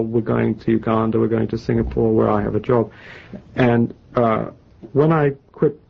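A man in his forties with a gravelly, husky voice, answering an interviewer's questions with a faintly English-tinged American accent. He stops and restarts often and talks with wry asides. The interview tape sounds dull and muffled. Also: medium pitch. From New England, United States. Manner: halting, many restarts, wry. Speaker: novelist guest.

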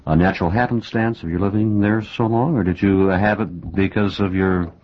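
Deep Midwestern man asking brief questions. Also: fairly even intonation, moderate pace, a little hiss or hum. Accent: Midwestern American. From American Midwest. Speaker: interviewer. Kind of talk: interview questions. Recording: muffled lo-fi interview tape.

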